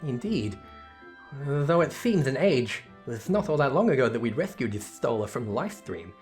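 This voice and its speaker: A man's voice: mid-range voice, sing-song, a little mellow, faint music behind, lightly acted. A man reading as a reserved, scholarly woman. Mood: measured, wistful. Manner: formal, precise, measured wording.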